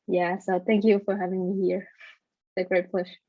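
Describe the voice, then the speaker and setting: A woman's voice: on the low side, flat delivery, normal speed, dry recording. A woman from Chile with a Chilean Spanish accent, a native Spanish speaker, introducing herself hesitantly as a guest on a video call. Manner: hesitant, many fillers. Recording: dry lo-fi video call audio.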